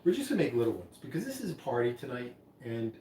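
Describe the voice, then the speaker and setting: A man's voice: mid-range voice, big pitch swings, fast, dark tone, slight background noise. A man hosting a live stream, chatting in a rambling, casual way about drinks. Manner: rambling, chatty, many restarts. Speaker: livestream host mixing drinks. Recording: echoey room, voice from far off.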